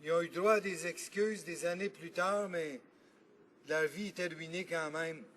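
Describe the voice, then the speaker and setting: A man with a Quebec French accent, a member of parliament giving an earnest, argumentative debate speech in a legislative chamber and pausing often to think. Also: a little high, a bit bright, slight background noise. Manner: earnest, argumentative, thinking aloud with frequent pauses.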